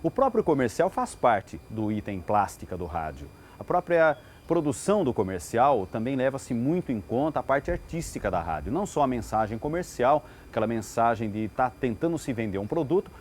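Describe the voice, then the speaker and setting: A man's voice: mid-range voice, big pitch swings, fairly quick, darkish tone. A man with a Brazilian accent giving explanations in an interview. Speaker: radio station interviewee. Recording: faint background ambience noise.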